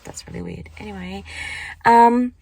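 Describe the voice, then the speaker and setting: A young woman with a croaky morning voice, chatting casually into a handheld phone at the beach. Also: mid-range voice, expressive, moderate pace, dark tone, no room sound. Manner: chatty, rambling, lots of fillers. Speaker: vlogger. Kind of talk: casual chat vlog. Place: car at the beach, then walking outdoors.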